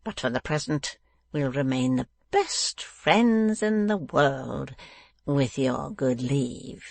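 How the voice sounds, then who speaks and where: A malicious storybook dwarf played by a man reading aloud, grinning and domineering. He drags out his words with emphatic menace and taunts at a middling pitch. The sound is dry. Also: expressive, creaky, no room sound. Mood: sly, scheming. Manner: menacing, emphatic, drawn-out delivery.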